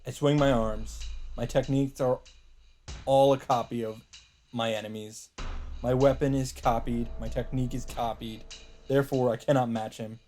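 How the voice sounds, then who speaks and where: A man's voice: mid-range voice, steady tone, normal speed, mellow, a little hiss or hum. A man reading the narration of a visual novel aloud as a let's play host. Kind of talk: story narration read aloud. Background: faint game music.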